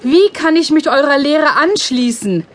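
A woman playing an earnest, dignified cloth merchant in a biblical audio drama, speaking formally and deliberately. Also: sits high, expressive, slight background noise. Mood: earnest, moved.

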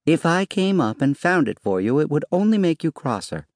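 Man voicing a serene, wry wife, pitched far up. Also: sing-song, normal speed, a little mellow, dry recording, clean studio sound. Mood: serene, teasing. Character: calm, teasing, self-possessed middle-aged wife.

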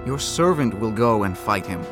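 A man's voice: fairly low pitch, animated, moderate pace, music in the background, lightly acted. An actor playing a bold, earnest young shepherd man in a dramatized scripture audiobook, speaking in a declamatory way. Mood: resolute.

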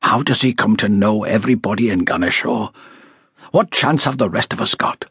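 A man's voice: fairly low pitch, moderate pace, creaky, fairly bright tone, slightly rough, no room sound, clean studio sound, heavily acted. A man voicing a small, stocky police inspector with a hostile, impatient manner, in a storybook reading. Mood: grumbling. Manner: impatient, grumbling.

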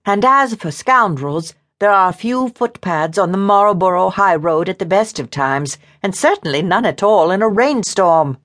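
A woman voicing a headstrong young Regency lady, snappy and defiant, with a quick temper and old-fashioned wording. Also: mid-range voice, lively intonation, fairly bright tone, pitched above the performer's own voice. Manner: emphatic, snappy, defiant, archaic polite wording.

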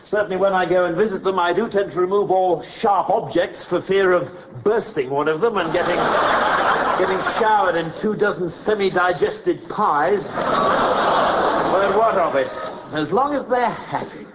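An actor playing a kind, overly generous Victorian shopkeeper who is politely effusive. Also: male voice, sits high, moderate pace, highs cut off, heavy background noise, lightly acted. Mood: genteel.

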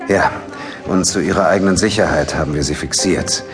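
An actor playing a grave, placating hospital doctor who speaks haltingly in an audio drama. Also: male voice, low-pitched, natural voice. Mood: grave, regretful. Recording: music behind the voice.

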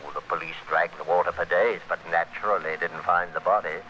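Cold criminal schemer, low-pitched, forceful edge, clipped and menacing, vintage radio thriller, noisy old recording. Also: male voice, fairly even intonation, fairly quick, lo-fi sound. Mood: grim, calculating. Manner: hard, domineering, clipped and menacing. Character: cold, scheming male criminal narrating his murderous plot. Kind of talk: 1940s radio thriller acting.

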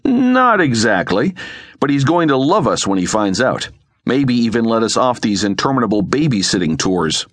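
A male narrator plays a sly, confident commando leader in an adventure story. He sounds wry and assured, with very mobile pitch and a dry recording. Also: sing-song, moderate pace, a bit bright, dry recording. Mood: persuasive.

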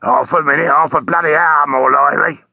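A man performs a working-class cabbie in a story reading. He is hoarse and gravelly, with a forceful edge. He grumbles and curses in slang, thoroughly fed up. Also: on the high side, steady tone, a little fast, dry recording, dull audio, voice pushed up, heavily acted. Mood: bitter. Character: irate, foul-mouthed working-class taxi driver, male. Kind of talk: audiobook character voice.

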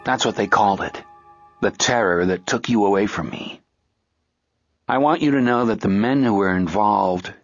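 A man voicing a grieving, vengeful man who speaks to his beloved in a grave, measured audiobook monologue. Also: on the low side, husky, no room sound, muffled recording, pitched below the performer's own voice.

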